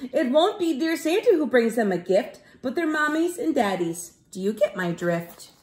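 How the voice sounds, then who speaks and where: A woman voicing a calm, patient head elf from a children's storybook, explaining things soothingly. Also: high voice, moderate pace, roomy sound. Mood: patient, reassuring.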